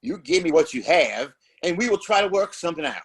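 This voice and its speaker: A smug villainous mayor for a Western audio play. His raspy voice rides fairly high and swoops grandly. Every phrase is pompous and falsely polite. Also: big pitch swings, fairly quick, exaggerated voice. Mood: falsely sympathetic. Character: smug, oily Old West town mayor.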